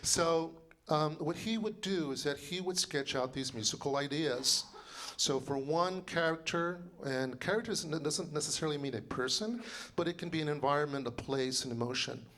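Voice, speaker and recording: A man in his sixties, a composer and librettist, giving a loud, rambling informal lecture full of fillers and restarts. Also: fairly high pitch, normal speed. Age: 60s.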